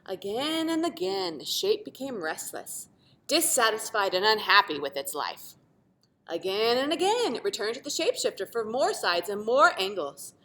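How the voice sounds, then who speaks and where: Animated, bright-voiced woman reading a storybook. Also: fairly high pitch, normal speed, a little hiss or hum. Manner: animated, dramatic emphasis with asides to young listeners. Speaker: teacher reading a picture book aloud. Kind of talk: expressive children's storybook read-aloud.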